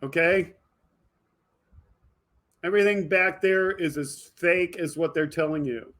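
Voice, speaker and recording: Higher-pitched man in fired-up political monologue. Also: unhurried, a bit bright. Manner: emphatic, fired up, addressing viewers directly. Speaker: video podcast host. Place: indoor home studio with green screen.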